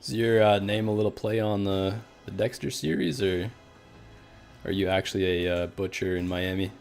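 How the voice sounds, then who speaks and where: Gravelly low-voiced Canadian guy. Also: normal speed, a little mellow, soft background music. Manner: laid-back, reads chat aloud, many fillers. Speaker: streamer. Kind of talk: casual live-stream chat while gaming.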